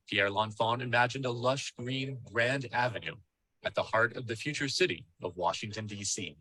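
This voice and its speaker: A man reading scripted documentary narration in a solemn, measured and formal way. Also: mid-range voice, bright, forceful tone, breathy edge, no echo, rough recording.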